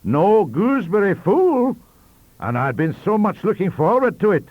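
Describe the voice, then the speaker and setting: Hearty, hard-drinking old Victorian statesman ghost, pitched high and loud, read by a male narrator. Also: sing-song, no room sound, phone-quality sound. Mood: dismayed. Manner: booming, hearty, brisk.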